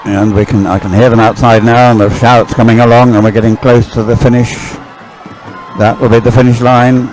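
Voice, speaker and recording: A man's voice: medium pitch, little pitch movement, fairly quick, a little mellow, some chatter behind, poor audio. An older man giving unscripted live race commentary in a hesitant, musing, explanatory way.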